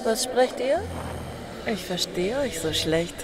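A woman plays a young female snow spirit in a fantasy radio play. She is mysterious, evasive and teasing, speaking slowly at a medium pitch. Clear ambience surrounds her. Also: expressive, background noise. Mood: teasing, aloof. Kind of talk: fantasy audio-drama acting.

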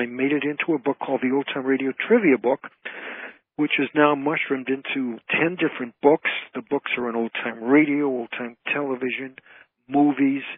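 A man's voice: mid-range voice, slightly rough, no room sound. An older man from the United States, an entertainer and author, talking conversationally as a radio interview guest. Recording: tinny, dry phone line.

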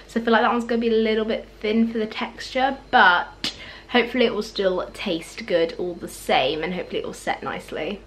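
A young woman in her twenties chatting casually to a handheld camera in her home kitchen, with lots of filler words. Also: mid-range voice. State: croaky just-woken voice. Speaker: vlogger. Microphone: handheld camera at arm's length.

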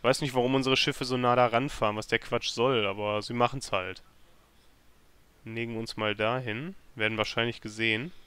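A man's voice: mid-range voice, steady tone, moderate pace, sharp tone, clean tone. A man hosting a let's play with casual, self-deprecating and grumbling commentary into a microphone. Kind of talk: casual video game commentary.

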